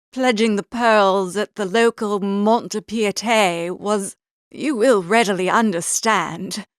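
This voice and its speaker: A woman's voice: mid-range voice, dry recording, studio quality, close to an everyday voice. Woman playing a demure, pleading young lady. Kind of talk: audiobook character reading.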